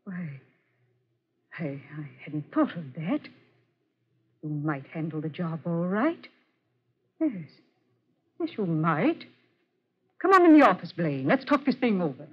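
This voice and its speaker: An actress playing a brisk, impatient female office boss in a demanding manner, in 1940s radio drama style. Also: lowish voice, animated, husky, heavily acted. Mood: surprised, considering. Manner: brusque, demanding. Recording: dull vintage 1940s radio recording.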